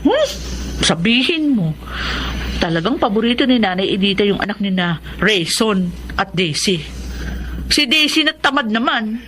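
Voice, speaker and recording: An actress playing an expressive, emotional woman of a struggling household in a Tagalog radio drama. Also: medium pitch, moderate pace, pauses often, slight background noise. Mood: resentful.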